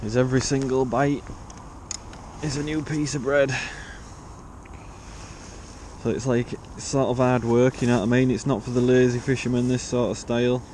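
A man's voice: medium pitch, level tone, moderate pace, a little mellow, a little hiss or hum. A young man with an English accent chatting casually and in slang as he fishes outdoors, picked up on an action camera. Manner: informal, slangy. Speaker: angler hosting a fishing vlog. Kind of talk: casual chat while fishing. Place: outdoors on a riverbank. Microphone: body-worn action camera.

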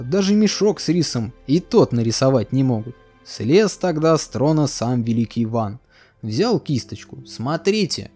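Lively, mellow-voiced male storyteller. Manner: sing-song storytelling, sweeping pitch. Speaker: narrator. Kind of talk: expressive fairy tale narration. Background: faint music.

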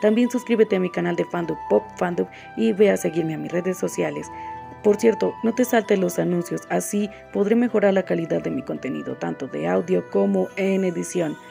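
A woman delivers a scripted channel intro as host. Her voice has a very strong creak, and music plays clearly behind her. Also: on the low side, moderate pace. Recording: music bed under the voice.

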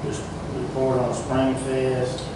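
A man's voice: medium pitch, monotone, slow pace. A man chairing a council meeting, working through an agenda in terse items. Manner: terse, item-by-item delivery. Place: small council meeting room, indoors. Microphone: far camera microphone. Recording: distant mic, echoey room, clearly noisy.

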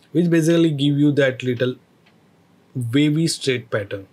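A man teaching a screen-recorded software tutorial, explaining each step with hesitant phrasing and fillers. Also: medium pitch, husky.

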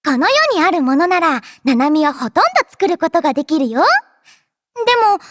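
Anime game android girl, extremely high voice, lively swings, childlike, playful, boastful. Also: a little fast, no room sound. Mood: earnest, wistful. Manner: playful, childlike, refers to herself by name. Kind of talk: anime game character voice lines. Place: dry studio.

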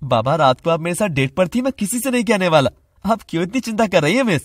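Man playing a highish-voiced caring young student. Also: lively intonation, fast, no echo, studio quality. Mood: concerned, teasing. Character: young male student in love, caring, playful.

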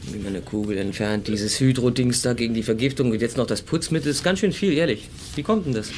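A man's voice: medium pitch, quick pace, a little mellow, lightly acted. An actor playing a blunt, casual male helper who talks in an offhand, colloquial way in a German audio drama. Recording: faint background ambience noise.

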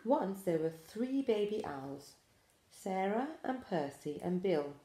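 A woman in her forties gently reading a picture book aloud to pre-school children, with expressive storytelling. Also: mid-range voice, slow, roomy sound, a little hiss or hum. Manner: gentle, addressing small children. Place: small room indoors.

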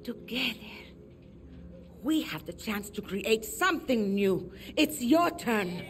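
Slow, solemn revolutionary veteran. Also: female voice, a little high, animated, soft background music. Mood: hopeful, solemn. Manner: measured, earnest. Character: solemn, hopeful veteran female revolutionary addressing comrades. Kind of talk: dramatic speech.

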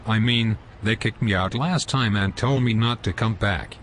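A man voicing a rude, scruffy homeless man in an amateur animated comedy, with slow, sulky, insulting speech. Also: fairly low pitch, a little hiss or hum, close to an everyday voice. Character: rude scruffy homeless man who lies about everything.

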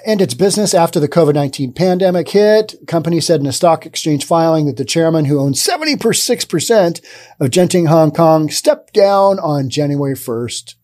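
A man in his thirties hosting a podcast, giving casual, sarcastic commentary on the news into a studio boom mic. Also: lively intonation, normal speed, darkish tone. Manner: sarcastic, acts out imagined dialogue. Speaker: podcast host. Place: dim studio with brick wall and neon sign.

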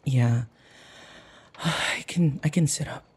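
A man playing a timid, submissive human in an intimate audio roleplay, halting and stammering, with a breathy, husky voice. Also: a little high, background noise. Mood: bewildered, meek.

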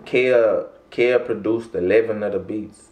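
A young man talks in a loose, rambling way, full of slang and throat clearing. His voice sits low. He is seated in a small room that rings with echo. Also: measured pace, darkish tone. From Louisiana. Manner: laid-back, rambling, heavy slang, frequent throat clearing. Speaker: rapper guest. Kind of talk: casual interview talk. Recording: echoey room.

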